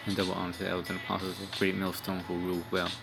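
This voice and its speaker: Deep gravelly man preaching over music. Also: completely flat delivery, racing. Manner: rambling, repeats "man" and "all right"; profane, blunt. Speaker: street preacher. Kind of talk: informal religious video sermon. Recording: music playing behind.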